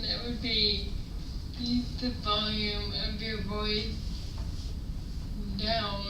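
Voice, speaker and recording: A woman from Los Angeles giving a classroom presentation and answering questions, slow and hesitant with many pauses, speaking on a headset mic. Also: mid-range voice, background noise. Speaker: student presenter. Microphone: headset mic through a speaker.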